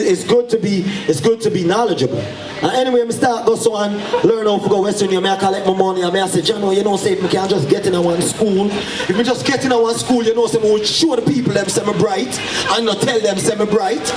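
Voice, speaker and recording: A man's voice: high-pitched, noisy background. A man in his forties with a Jamaican accent mixed with New York, telling a story on stage and switching into patois. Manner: animated, switches into patois for quoted voices. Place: live event stage. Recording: roomy venue sound from far off.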